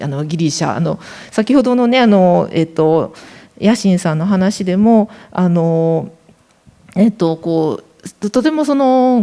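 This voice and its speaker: Fast, halting woman panel moderator. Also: fairly low pitch, darkish tone. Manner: fast, hesitant, many fillers. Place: festival panel on stage.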